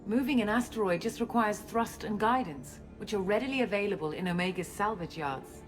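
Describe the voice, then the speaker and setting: A woman playing a guarded, self-assured scientist and space operative in a video game, explaining things in a measured way. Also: mid-range voice, close to an everyday voice. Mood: composed, explaining. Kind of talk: video game cutscene acting. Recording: music under the dialogue.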